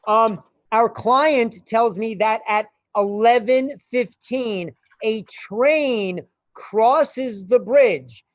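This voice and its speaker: A woman playing a cautious criminal go-between in a radio play, halting and careful, on a dull recording. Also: a little high. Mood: cautious, hesitant. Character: cautious, hesitant criminal go-between giving orders. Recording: dull, echoey room sound.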